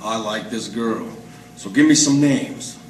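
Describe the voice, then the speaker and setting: A man's voice: fairly low pitch, unhurried, close to an everyday voice. A man playing a wary, blunt, hard-boiled sidekick in the manner of 1940s radio noir. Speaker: amateur stage radio-drama actor. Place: live performance in an art gallery. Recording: distant, echoey room with faint noise.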